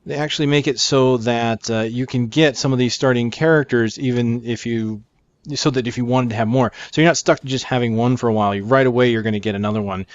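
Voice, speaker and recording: A man's voice: mid-range voice, fairly even intonation, fast, clear, resonant tone, dry recording. Dry-sounding man doing casual game commentary. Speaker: gaming video host. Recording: dry, slightly dull microphone.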